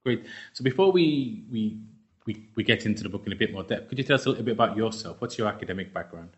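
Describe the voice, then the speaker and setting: A man's voice: fairly low pitch, expressive, fast talker. A man hosting an academic podcast, reading introductions and putting questions to a guest. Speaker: podcast host. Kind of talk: scripted introduction and interview questions.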